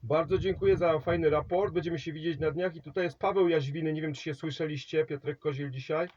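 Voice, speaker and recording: A man's voice: on the high side, steady tone, fast, dull audio, noisy background. A man working a CB radio contact, rambling about his trailer setup, giving signal reports and handing over the microphone. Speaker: CB radio operator.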